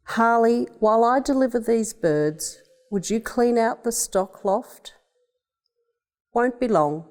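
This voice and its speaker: A woman's voice: on the high side, expressive, slow pace, a little mellow, pitched above the performer's own voice. Woman reading as a firm Australian father. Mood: brisk instructing. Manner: firm, matter-of-fact. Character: firm, practical wartime father of a boy. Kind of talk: children's storybook reading.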